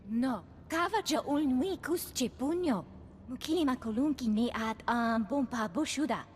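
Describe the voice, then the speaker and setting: An actress playing an animated female alien in a video game, speaking a bouncy invented tongue. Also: high-pitched, normal speed, long reverb tail, a little hiss or hum, natural voice.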